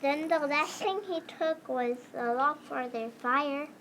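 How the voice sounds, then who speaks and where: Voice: high-pitched, unhurried, fairly bright tone. A young school-age child narrating a storybook script, reading it aloud in a readers theater. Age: child, elementary school. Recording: background ambient noise.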